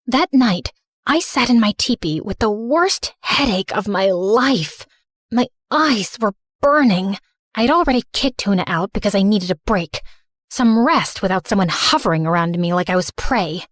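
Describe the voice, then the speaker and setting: A woman's voice: mid-range voice, expressive, measured pace, fairly bright tone, no echo, studio quality. A woman playing a hurt, guilt-ridden teenage girl who narrates her own story in a confessional, dramatic first-person style. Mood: hurt, resolute. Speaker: narrator and main character.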